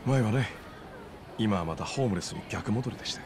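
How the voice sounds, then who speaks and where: An actor playing a polite, easygoing middle-aged former moneylender in a video game, understated and streetwise. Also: male voice, on the low side, animated, a little fast, crowd noise, background noise, natural voice. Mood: wry. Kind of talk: Japanese video game voice acting.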